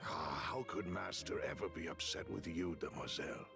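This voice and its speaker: A lowish, creaky-voiced gentleman retainer in an anime game. He speaks formally and calmly, loyal and a little wry, with music in the background. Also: normal speed. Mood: calm, courtly. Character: courtly, loyal male retainer, calm and wry. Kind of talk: anime-style video game drama acting. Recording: music behind.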